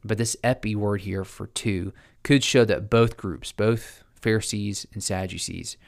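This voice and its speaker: A man's voice: lowish voice, measured pace, vocal fry. A man hosting a podcast, teaching through a scripture passage in a casual, rambling way with many restarts and fillers. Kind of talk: casual Bible study teaching.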